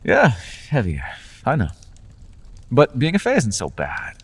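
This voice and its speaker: A man's voice: mid-range voice, wildly swooping pitch, measured pace, breathy edge, long reverb tail, exaggerated voice. A man voicing a playful male fae patron in an ASMR fantasy roleplay, talking in a charming, teasing, conversational way with small restarts.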